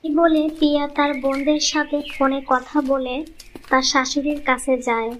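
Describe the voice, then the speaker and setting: A woman's voice: high voice, flat delivery, normal speed, fairly bright tone, a little hiss or hum. A woman giving scripted narration for a cartoon moral story.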